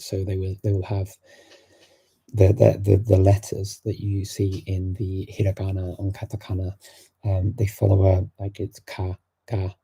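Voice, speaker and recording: A middle-aged man hosting a live-stream Q&A, answering viewer questions in a thoughtful, unhurried way full of fillers and restarts. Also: deep voice, vocal fry, mellow, husky. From England.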